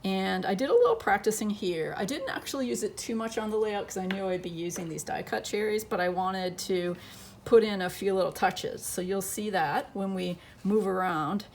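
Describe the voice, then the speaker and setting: A woman's voice: medium pitch, expressive, normal speed, slight background noise. A woman who is losing her voice gives a chatty scrapbooking tutorial, thinking aloud as she works at her crafting table.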